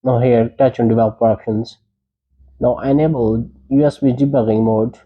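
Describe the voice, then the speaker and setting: A man's voice: medium pitch, fairly even intonation, normal speed, breathy edge, phone-quality sound. A man presenting a tech tutorial, giving short, plain step-by-step instructions.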